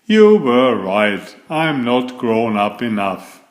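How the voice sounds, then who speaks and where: A man's voice: mid-range voice, big pitch swings, slow pace. A man voicing an earnest, forgetful young turtle in a children's storybook, with a simple childlike delivery. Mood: sorry, anxious.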